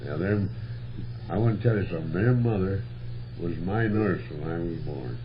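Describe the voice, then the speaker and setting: A man's voice: low-pitched, normal speed. An older man reminiscing casually about the past in folksy, plain country speech. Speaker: interviewee recalling local history. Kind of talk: casual oral history reminiscence. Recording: dull noisy old reel-to-reel tape with hum.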